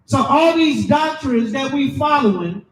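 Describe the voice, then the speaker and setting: High-pitched shouting preacher, echoey and distant. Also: male voice, animated, unhurried, a little hiss or hum. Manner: loud, emphatic, preaching to a crowd. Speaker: street preacher. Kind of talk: shouted sermon with scripture reading.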